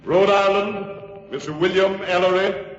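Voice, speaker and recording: An actor playing a formal congressional clerk, calling out names ceremonially in 1940s radio drama style. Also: male voice, sits high, fairly even intonation, unhurried. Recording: muffled old radio, echoing hall, noise.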